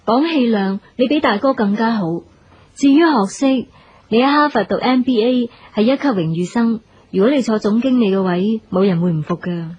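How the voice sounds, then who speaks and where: Woman acting a sensible sister, slightly husky. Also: a little high, normal speed, darkish tone, lo-fi sound. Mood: persuasive. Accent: Hong Kong Cantonese. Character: sensible, persuasive sister in a business family. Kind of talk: Cantonese radio drama acting.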